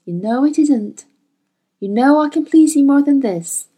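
Silky coaxing temptress woman reading her, soft and purring, slides up high. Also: animated, measured pace. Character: silky, manipulative woman coaxing secrets, seductive.

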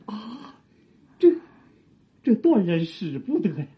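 A woman acting an aging, servile, guilt-ridden palace eunuch in an audio drama, with a thin, husky voice and halting, sighing, theatrical delivery. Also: medium pitch, big pitch swings, muffled recording, exaggerated voice. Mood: submissive, resigned.

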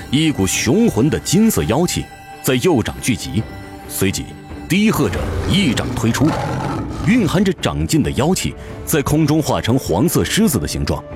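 Animated male fantasy narrator over music. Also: medium pitch. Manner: intense, theatrical storytelling. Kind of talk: dramatic scripted fantasy narration. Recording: music behind the voice.

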